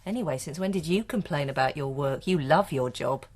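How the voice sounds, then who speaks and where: Radio drama: bitter British wife, lowish voice, terse, sardonic and suspicious. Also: female voice, brisk, darkish tone. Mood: dismissive. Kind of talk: naturalistic radio drama acting.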